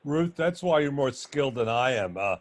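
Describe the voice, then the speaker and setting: A man moderating an online panel, talking loudly and chattily with many restarts. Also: mid-range voice, animated, moderate pace. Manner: loud, chatty, rambling with restarts. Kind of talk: panel discussion on video call. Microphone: video call.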